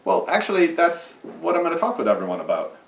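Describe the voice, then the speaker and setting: A man's voice: fairly high pitch, huge pitch swings, quick pace, vocal fry, reverberant, distant mic. A man leading a children's message in church, patiently explaining in a sing-song way for kids. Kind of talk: children's sermon with puppet. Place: church sanctuary.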